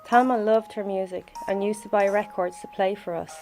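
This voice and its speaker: A woman's voice: medium pitch, steady tone, moderate pace, darkish tone, faint music behind. A middle-aged woman with a Dublin Irish accent reads a personal memorial tribute in a plain-spoken, reminiscing way. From Dublin, Ireland. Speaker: bereaved sister giving a tribute.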